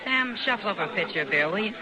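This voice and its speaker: A woman's voice: mid-range voice, animated, fast talker, very noisy, close to an everyday voice. An actress playing an easygoing, friendly saloon woman in a radio western, casual and familiar. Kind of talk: old-time radio western acting. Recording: old radio, loud saloon crowd behind.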